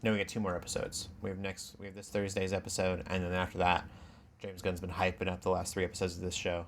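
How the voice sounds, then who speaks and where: Man, low voice, casual podcast chat, background noise. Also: completely flat delivery, quick pace. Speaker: podcast co-host.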